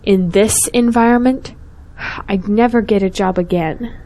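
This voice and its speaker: A woman's voice: medium pitch, unhurried, warm tone, lightly acted, rough recording. A young woman playing a principled, outspoken schoolteacher in an audio drama, earnest and pointed. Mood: worried. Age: young adult.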